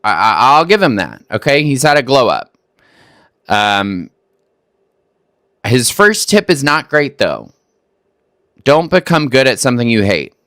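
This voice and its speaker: A man's voice: medium pitch, sing-song, measured pace, pauses often, fairly bright tone, dry recording, clean studio sound. A man from Texas hosting a reaction video, making wry, skeptical remarks that stumble over restarts. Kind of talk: casual commentary on a clip.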